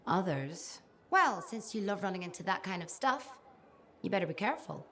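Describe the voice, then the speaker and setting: Wry female game mechanic: lowish, sardonic, fond; some background noise. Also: big pitch swings, moderate pace, a bit bright. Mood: wry teasing. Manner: teasing, sardonic. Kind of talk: video game cutscene acting.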